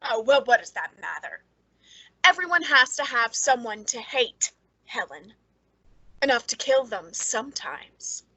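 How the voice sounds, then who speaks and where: High, brittle, nervous spinster acted by a woman. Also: sing-song, measured pace, pushed and bright, breathy edge, no room sound, heavily acted, poor audio. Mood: bitter, brooding. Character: lonely, frightened, unstable spinster confiding a dark secret. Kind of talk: old-time radio suspense acting.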